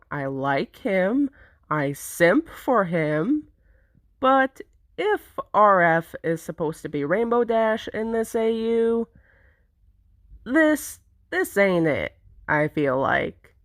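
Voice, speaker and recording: A woman narrating a script to viewers in a conversational, theatrical way with deliberate emphasis. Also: mid-range voice, sing-song, no room sound, clean studio sound. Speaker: narrator presenting own fan project.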